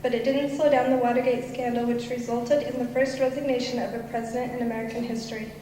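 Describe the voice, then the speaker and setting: High-voiced woman reading in a hall. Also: little pitch movement, fast, heavy background noise. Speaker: student presenter. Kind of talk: reading a prepared speech. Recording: echoey hall, distant mic.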